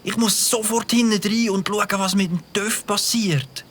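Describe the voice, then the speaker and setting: A woman's voice: medium pitch, fairly even intonation, a little slow, slight background noise, close to an everyday voice. A woman voicing a stammering, nervous village boy. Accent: Swiss German. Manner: hesitant, stammering. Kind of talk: children's audio drama acting.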